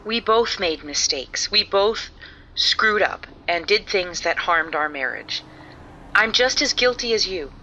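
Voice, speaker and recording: A woman's voice: mid-range voice, animated, unhurried, pushed and bright, dull audio, a little hiss or hum. An actress playing a worried wife who pleads earnestly with her husband in an audio drama. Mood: pleading. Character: Maria, Jared's worried estranged wife.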